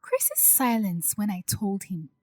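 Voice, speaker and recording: A woman playing an anxious, hurt young bride-to-be in an audio drama, speaking softly and hesitantly as she confides her doubts. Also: mid-range voice, huge pitch swings, takes it slowly, no room sound, full character voice, rough recording. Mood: anxious, regretful. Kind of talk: acted audio drama dialogue.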